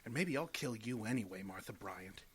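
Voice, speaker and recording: An actor playing a defiant, murderous son who blusters indignantly in an old-time radio drama. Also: male voice, fairly low pitch, animated, moderate pace, fairly bright tone, slightly rough. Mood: menacing. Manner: belligerent, indignant.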